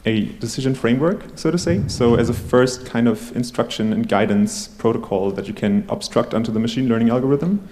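A man's voice: medium pitch, fairly quick, hardly any pauses, mellow. A man speaking as an expert panelist, explaining in technical, wordy, long winding sentences. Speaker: tech company CTO, panelist. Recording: reverberant hall.

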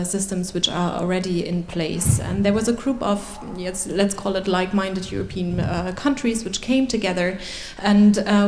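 A woman speaking as a researcher on a panel, explaining policy in a careful, measured, formal way. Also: mid-range voice, darkish tone. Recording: echoey room with clear background noise.

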